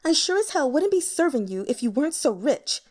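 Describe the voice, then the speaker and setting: A woman's voice: high voice, big pitch swings, a little fast, a little mellow, pitched above the performer's own voice. A woman voicing an aging, insincere courtesan with a coy front and a scornful streak, in an audiobook. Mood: bitter contempt. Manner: coy and girlish with clients.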